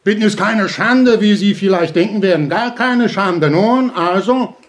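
An actor playing an unctuous, domineering fatherly head of a halfway house, preachy and pompous, in a German audio drama. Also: high voice. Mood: condescending, lecturing. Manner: preachy, pompous, drawn-out emphatic phrasing.

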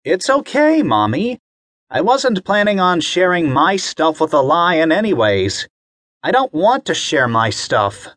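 A man voicing an excited young boy who talks high and eagerly. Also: unhurried, no echo, studio quality. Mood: stubborn. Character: excited young boy, self-proclaimed brave.

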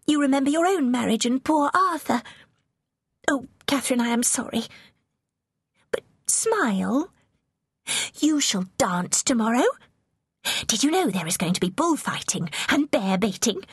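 A woman's voice: sits high, big pitch swings, slightly rough, no echo, pitched above the performer's own voice, exaggerated voice. A woman voicing a young English princess, girlish, vivacious and impulsive, in an audiobook. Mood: excited, affectionate. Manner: gushing, emphatic, rapid changes of feeling.

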